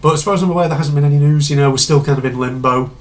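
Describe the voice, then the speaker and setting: A man hosting a casual reaction video, rambling and chatty with lots of fillers, his voice slightly hoarse and congested from a cold. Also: fairly high pitch, rapid-fire.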